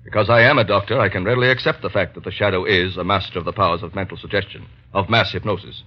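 An actor playing an earnest, authoritative doctor, a man who speaks gravely and urgently in an old-time radio drama. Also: deep voice, steady tone, rapid-fire. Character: earnest, authoritative male doctor, an escaped ex-convict. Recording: dull vintage radio recording.

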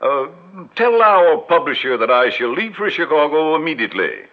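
An actor playing a smooth, pompous con man in an old-time radio drama, speaking in a grandiloquent, self-assured way. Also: on the high side, big pitch swings, moderate pace. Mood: smoothly ingratiating. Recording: muffled old radio broadcast recording.

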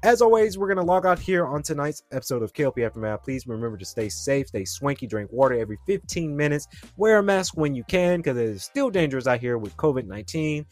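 A man's voice: medium pitch, big pitch swings, fast, hardly any pauses, a little mellow, soft background music. A man in his twenties hosting a podcast, telling a rambling story and talking straight to his listeners. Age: 20s. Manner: rambling, chatty, addresses listeners directly. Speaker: podcast host. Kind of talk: casual solo podcast storytelling.

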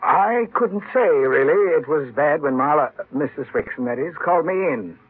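Radio-play doctor: husky, higher-pitched, formal, defensive; muffled vintage sound. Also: male voice, lively intonation, normal speed. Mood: guarded. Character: defensive male physician, formal and guarded. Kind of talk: old-time radio drama acting.

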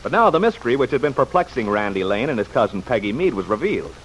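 Animated old-time radio announcer man. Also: mid-range voice. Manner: polished storytelling. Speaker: announcer and narrator. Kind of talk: 1940s radio scripted narration. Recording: noisy vintage radio broadcast.

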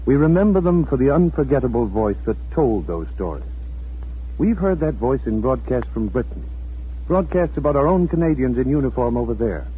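A man's voice: mid-range voice, animated, brisk, husky. A man announcing on 1940s radio, reading a formal, solemn scripted introduction. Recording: old 1940s radio broadcast, thin tinny band.

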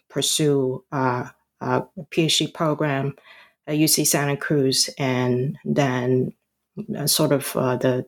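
A middle-aged woman from Hong Kong answers interview questions about her academic work. She speaks slowly in a low voice, pausing often with ums and restarting her sentences. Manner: halting, many ums and restarts. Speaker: guest author, historian.

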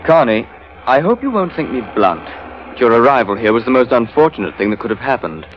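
A man playing a restless, uneasy gentleman in a 1940s radio drama, hesitant and formally courteous. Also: medium pitch, sing-song, brisk, a little hiss or hum, natural voice. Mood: tense, blunt. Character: restless, uneasy, polite colonial gentleman wanting escape. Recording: dull vintage radio recording with music.

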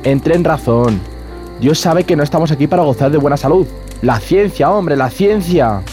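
A man acting as an impatient expedition professor in a horror audio drama. His voice is fairly high for a man but dark and mellow in tone. He scolds emphatically and sternly, with faint music behind. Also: expressive, moderate pace, close to an everyday voice. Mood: impatient, scolding.

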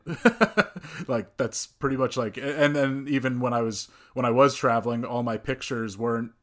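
A man's voice: mid-range voice, normal speed, a bit bright. A young man hosting a casual podcast chat, laughing often and restarting his sentences. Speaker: podcast host. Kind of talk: casual conversational interview.

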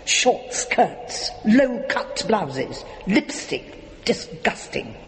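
A woman's voice: mid-range voice, very animated, drawn-out words, heavily acted. An actress playing a prim, severe, disapproving woman in her fifties in a radio drama, with a starchy, censorious manner. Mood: disgusted, scandalized. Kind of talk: British radio drama acting. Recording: reverberant radio drama recording.

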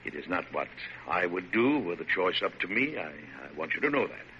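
An actor playing a dignified, kindly but firm older American President, speaking formally and with measure in a classic radio drama. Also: male voice, low-pitched, big pitch swings, sharp tone, heavily acted. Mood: regretful. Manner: formal, measured, hesitant at times. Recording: noisy, dull vintage radio recording.

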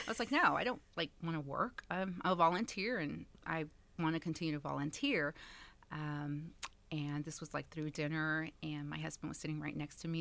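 A woman's voice: deep voice, a bit bright. A woman in her thirties from Texas, a community center director, chatting as a guest with frequent laughs and fillers. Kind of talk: casual interview chat.

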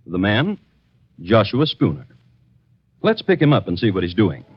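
A man narrating a scripted true-crime radio drama as host, with a wry, dramatic, deliberate storytelling manner. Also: fairly low pitch, huge pitch swings, slightly rough. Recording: dull vintage radio recording.

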